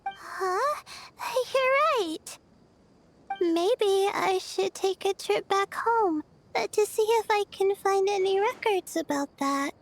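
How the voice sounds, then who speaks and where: A timid young student girl from an anime-style game, with an extremely high voice. She speaks slowly, hesitant and earnest, with faint noise behind. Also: animated, heavily acted. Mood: wondering. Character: timid, self-doubting young female student.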